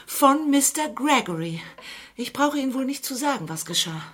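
A woman's voice: high-pitched, expressive, normal speed, fairly bright tone. An actress playing a distressed woman doctor who has been blackmailed, in a radio crime drama, speaking earnestly with dramatic emphasis. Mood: anguished, confessional.

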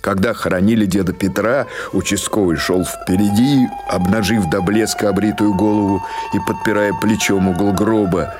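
Deep-voiced man narrating literary prose over music. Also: steady tone, moderate pace, hardly any pauses. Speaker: audiobook narrator. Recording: music behind the voice.

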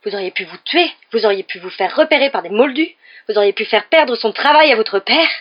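A woman's voice: lowish voice, fairly quick, dull audio, natural voice. A woman voicing a short, plump, fierce mother who scolds and fusses over children in an audiobook story. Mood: furious scolding. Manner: loud, emphatic, exclaiming scolding.

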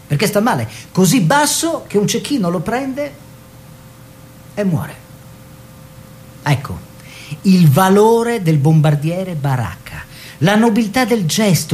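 An actor playing a theatrical man of the arts world, declamatory and ironic, in a radio drama style. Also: darkish tone. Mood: ironic storytelling. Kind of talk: theatrical narration and dialogue for radio. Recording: radio play with faint noise and rumble.

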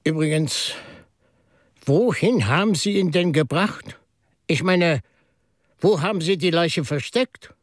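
Radio drama shrewd, mild priest-sleuth: husky, creaky, slow-thinking and measured, close dry sound. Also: male voice, fairly high pitch, no room sound. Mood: calm, probing. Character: Father Brown, mild, shrewd, reflective priest-detective. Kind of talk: German radio drama acting.

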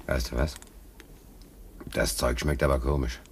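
A man's voice: rumbling low, steady tone, unhurried, a little hiss or hum. A man playing an uneasy, bitter working-class ship stoker in a German radio play, talking in a colloquial, brooding, indignant way. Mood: wary discovery.

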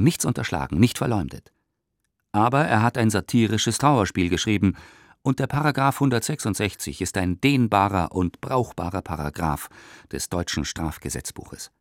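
An actor in a radio play reciting an 1890s newspaper polemic as an impassioned, indignant reader with emphatic rhetorical delivery. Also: male voice, fairly low pitch, no echo, clean studio sound. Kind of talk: dramatic radio play recitation of historical press text.

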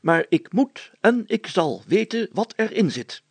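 A man voicing a nervous, excitable town mayor with a fussy, exclamatory way of talking in an audiobook story. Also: high-pitched, unhurried, slightly rough, no echo, pitched above the performer's own voice, exaggerated voice. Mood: resolute, regretful. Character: nervous, excitable, curious male burgomaster.

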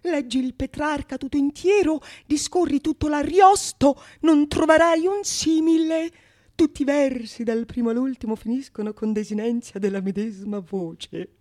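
Vain male fool in love, pompous theatrical delivery, high voice played by a woman. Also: moderate pace, a little mellow, close to an everyday voice. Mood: boastful. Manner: pompous, self-satisfied. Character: vain, lovesick male fool boasting of his poetry. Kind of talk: theatrical radio monologue.

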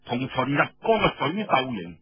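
A man with a Cantonese accent telling a story in an expressive, colloquial oral storytelling style, with rhetorical asides. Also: mid-range voice, moderate pace, husky, dry recording, muffled recording. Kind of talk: dramatic traditional oral storytelling narration.

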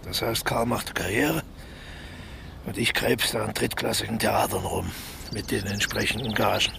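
A man's voice: on the low side, steady tone, a little slow, creaky, background noise. A man acting a resigned, wry failed actor turned thief in a radio crime comedy, in an understated, naturalistic way.

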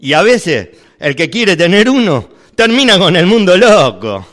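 A man's voice: sits high, sing-song, unhurried, a bit bright, heavily acted. Give me a man playing a dry, matter-of-fact shopkeeper. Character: weary male shopkeeper selling worlds. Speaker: workshop radio drama actor. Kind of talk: amateur radio drama rehearsal.

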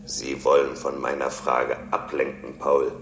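A man playing a coolly logical, self-assured robot in a radio drama, speaking in formal, measured, pedantic phrases. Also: rumbling low, flat delivery, a bit bright, echoey room, slight background noise, heavily acted. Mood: skeptical. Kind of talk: German radio drama acting.

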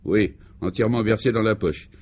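Man playing a wry, low-voiced jocular guide. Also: a little fast, darkish tone, lightly acted. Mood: deadpan.

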